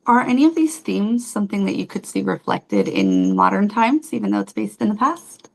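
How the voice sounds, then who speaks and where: A woman's voice: mid-range voice, big pitch swings, moderate pace, creaky, low-quality recording. A woman hosting an interview show, upbeat and enthusiastic, asking questions casually with fillers. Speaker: show host.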